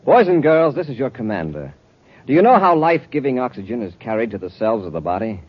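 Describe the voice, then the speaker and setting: A decisive, earnest space commander of a 1950s radio serial, played by a man. His voice sits at a middle pitch and carries authority. The old recording is dull, with faint noise behind it. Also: very animated, fast talker, natural voice. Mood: earnest appeal. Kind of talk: 1950s radio adventure acting.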